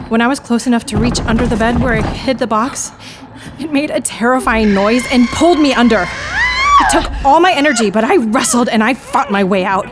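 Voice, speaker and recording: An actress playing a frazzled female knitter confessing in an audio drama dark comedy, with a theatrical, wry, confiding manner. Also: fairly high pitch, heavy background noise, close to an everyday voice.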